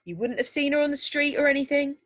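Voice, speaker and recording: A woman voicing a friendly, brisk policewoman in a story, politely questioning children. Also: sits high, pitched above the performer's own voice, natural voice. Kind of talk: storybook character dialogue.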